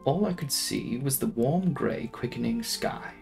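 Gravelly, expressive male audiobook narrator. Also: medium pitch, a little slow, faint music behind, poor audio. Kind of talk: dramatic reading of a gothic novel.

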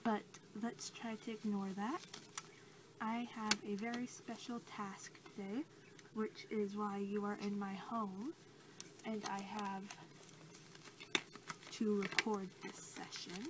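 A young woman talks slowly and softly through a casual ASMR role-play as a researcher. Her pitch is average for a woman and her delivery is halting and unhurried. It is a homemade bedroom recording with clear background noise. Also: flat delivery. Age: young adult. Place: small bedroom, indoors. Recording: clearly noisy home recording.

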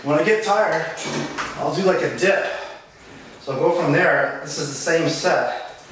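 A man's voice: a little high, measured pace, noisy background. A man in his forties casually explaining exercises in his small home gym while doing them, a bit breathless at times. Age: 40s. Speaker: fitness vlogger. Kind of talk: casual workout demonstration. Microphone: camera mic from across the room. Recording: echoey room, distant camera mic.

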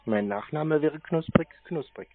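A man's voice: a little high, expressive, unhurried. A man in a casual phone conversation, teasing and questioning the person on the other end. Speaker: prankster taking scam calls. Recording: narrow-band phone call audio.

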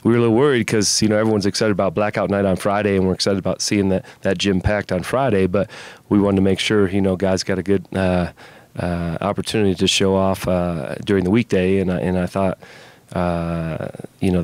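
A middle-aged man speaks as a basketball coach in a postgame interview. His voice is low and fairly flat in pitch, with a measured, conversational delivery. The sound is dry, taken on a handheld microphone. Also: no echo. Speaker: college basketball head coach. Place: indoors in front of a media backdrop. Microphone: handheld mic held by interviewer.

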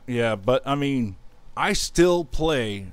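A man's voice: mid-range voice, expressive, slow, clean tone. A man in his thirties chatting casually and rambling with self-mocking humor while he streams a game. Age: 30s. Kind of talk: casual gaming livestream chat.

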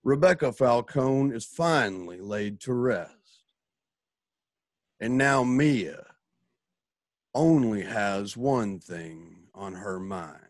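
A man's voice: lowish voice, expressive, slow pace, pauses often, no echo, studio quality. Solemn western drama narrator played by a man.

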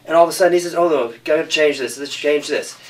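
A man's voice: fairly high pitch, fast talker. A man speaking insistently and explaining himself as a film director in an interview discussion. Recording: distant microphone.

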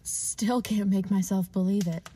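A woman's voice: medium pitch, steady tone, unhurried, background noise, close to an everyday voice. A woman playing a polite, wide-eyed and hesitant doctor in 1940s radio drama style. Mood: astonished.

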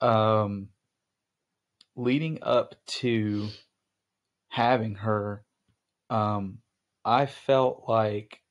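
A man's voice: fairly low pitch, extremely slow, long pauses, muffled recording. A young man hosting a podcast, chatting casually with his wife, rambling with lots of ums and restarts. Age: young adult. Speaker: podcast host.

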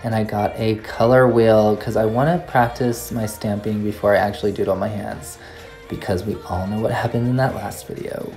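A woman's voice: a bass voice, steady tone, normal speed, warm tone, faint music behind. Gushing woman filming a nail haul. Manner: gushing, enthusiastic. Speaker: nail art YouTuber. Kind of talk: casual shopping haul vlog. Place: nail supply store, then home desk, indoors.

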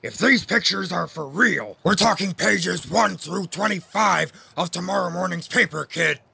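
A man voicing a brash, blustering newspaper boss in a comic dub, gruff and raspy. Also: high-pitched, moderate pace, dry recording, full character voice. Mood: skeptical, blustering. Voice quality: raspy, creaky. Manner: gruff, forceful.

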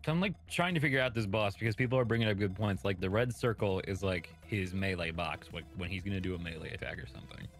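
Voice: sits low, fast talker, few pauses, soft background music. A co-commentator chatting casually on a game stream, joking and slipping into mock quotes. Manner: jokey, slips into mock quotes.

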